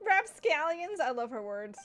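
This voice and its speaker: A woman's voice: high-pitched, sing-song, a little slow, a bit bright, slight background noise. A young woman giving casual playthrough commentary, reacting with exclamations and chatting to herself as she plays. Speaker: gaming video creator. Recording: game music in the background.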